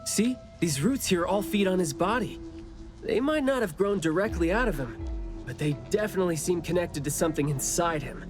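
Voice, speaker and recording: A man's voice: high-pitched, lively intonation, moderate pace, over music. An actor playing a glib, analytical young male investigator with a teasing wit in a video game. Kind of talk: English video game voice acting.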